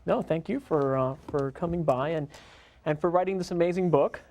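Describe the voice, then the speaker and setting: A man's voice: fairly high pitch, moderate pace, darkish tone. A man co-hosting an interview show who talks in an enthusiastic, chatty way with lots of fillers. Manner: enthusiastic, chatty, full of fillers like "you know". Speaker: co-host. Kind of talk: interview conversation.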